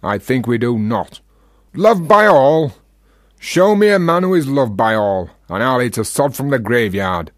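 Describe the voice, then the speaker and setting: An actor playing a talkative, teasing Yorkshire freethinker in a radio play, with a rhetorical, provocative manner. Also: male voice, mid-range voice, expressive, a little slow, dry recording, clean studio sound, lightly acted. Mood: argumentative, expansive.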